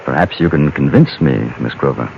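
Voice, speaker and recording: An actor playing a courteous, unflappable gentleman correspondent in the Old West, measured and dryly amused, in old-time radio style. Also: a bass voice, lively intonation, vocal fry, background noise, full character voice. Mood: calm, curious. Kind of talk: old-time radio drama dialogue and first-person narration. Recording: dull old radio recording, music behind.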